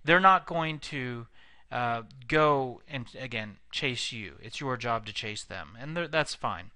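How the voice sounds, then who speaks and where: Male sales trainer, conversational lecture delivery, crisp, dry close recording. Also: mid-range voice, bright, forceful tone, dry recording. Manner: conversational, frequent fillers and restarts. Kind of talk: instructional video lecture.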